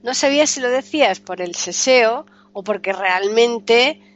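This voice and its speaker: A woman's voice: on the high side, a little slow, highs cut off, slight background noise. Andalusian woman asking curious questions. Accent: Andalusian Spanish. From Andalusia, Spain. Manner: curious, questioning, lightly teasing. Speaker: podcast host. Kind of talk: casual interview chat.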